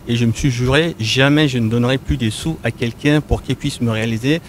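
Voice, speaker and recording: Male guest from Congo, middle-aged, halting delivery full of fillers, a bit off-mic with faint rumble behind. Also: recorded from a distance. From Republic of the Congo. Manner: hesitant, many fillers and repeated words. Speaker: enterprise architect, guest. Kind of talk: interview answers.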